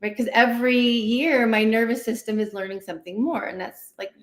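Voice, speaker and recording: A woman's voice: fairly high pitch, a little fast. Woman explaining conversationally in an echoey room. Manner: animated, acts out inner dialogue, often tags sentences with "right?". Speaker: guest therapist, licensed clinical social worker. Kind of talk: conversational explaining in a livestream interview. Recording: roomy, echoey sound.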